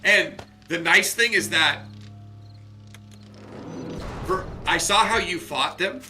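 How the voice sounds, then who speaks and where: Man, streamer co-host, bright cutting voice, cold-worn, rambly casual delivery. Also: high voice, takes it slowly, faint music behind. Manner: rambling, restarts and chatty asides. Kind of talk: casual gaming chat.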